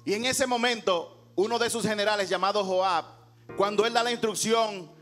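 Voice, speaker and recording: A man with a high-pitched voice preaches as a pastor. His delivery is emphatic and rhetorical, slipping into dramatized scripture dialogue, with instrumental music faintly behind him. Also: normal speed, a bit bright. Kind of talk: sermon preached to a congregation.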